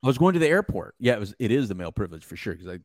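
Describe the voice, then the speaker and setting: A man whose first language is Spanish, telling stories in casual podcast conversation with lively, slangy delivery. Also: lowish voice, racing, no room sound, studio quality. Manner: animated storyteller, slangy, says "bro". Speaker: podcast co-host.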